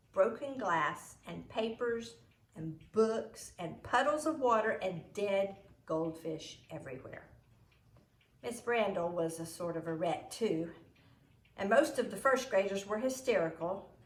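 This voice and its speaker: A woman narrates a storybook at an unhurried pace. Her delivery is expressive and emphatic, with lively swings in pitch. The recording is roomy and echoing. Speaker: storybook reader. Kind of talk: expressive read-aloud narration.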